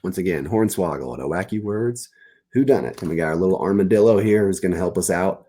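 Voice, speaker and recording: A man's voice: sits low, fast, mellow. A man, an author, reading aloud and explaining words informally to young listeners at a children's story time. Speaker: author reading own book.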